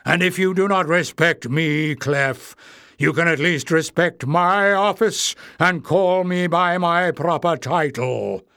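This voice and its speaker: A man's voice: fairly high pitch, takes it slowly, fairly bright tone, clear, resonant tone, dry recording, studio quality, pitched above the performer's own voice. A man voicing a wrinkled old male goblin official who gives stern, scolding orders. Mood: imperious.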